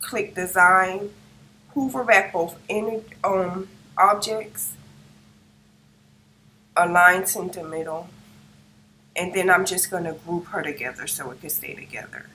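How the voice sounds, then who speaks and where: Hoarse woman walking through a craft tutorial. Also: lowish voice, slow pace, long pauses, pushed and bright, rough recording. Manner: talks through each click as she works, checking in with "okay?". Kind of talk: step-by-step screen tutorial narration. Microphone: no microphone, device's built-in mic.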